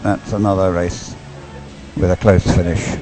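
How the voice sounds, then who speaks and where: Low, mellow male race commentator, hesitant. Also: flat delivery, measured pace, faint music behind, poor audio. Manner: hesitant, rambling, stop-start delivery. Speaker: live sports commentator.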